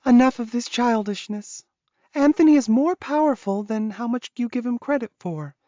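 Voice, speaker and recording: Woman as a loyal witch jailer in an audiobook, matter of fact, highish soft-toned voice. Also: lively intonation, normal speed, dry recording, dull audio, lightly acted. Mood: firm, stern. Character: resigned, loyal young witch guarding a captive.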